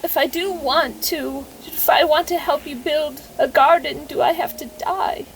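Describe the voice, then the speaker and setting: A woman playing an anxious, devout widow in an audio drama, halting and repeating herself, with formal, old-fashioned phrasing. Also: high voice, steady tone, poor audio. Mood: uneasy, searching. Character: anxious, devout widow, overwhelmed, speaking to her dead husband's likeness. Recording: faint background noise.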